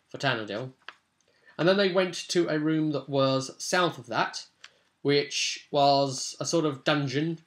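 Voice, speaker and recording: Man, British accent, mid-range pitch, chatty, colloquial, unscripted game master commentary. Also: a bit bright. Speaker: game master recapping his tabletop session.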